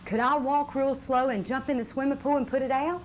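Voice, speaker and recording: A woman leads a children's safety lesson in a slightly high voice. She explains patiently, repeats herself and keeps checking with short questions. The live VHS recording has light background noise. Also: steady tone, brisk. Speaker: fire safety presenter for children. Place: live puppet show before children. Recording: noisy live room on VHS tape.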